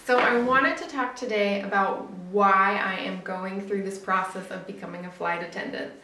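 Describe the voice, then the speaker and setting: A woman's voice: medium pitch, fairly even intonation, normal speed, loud music behind the voice. Chatty young woman, roomy distant sound. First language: English. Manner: chatty, upbeat, self-correcting. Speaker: vlogger. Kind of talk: casual vlog talk to camera.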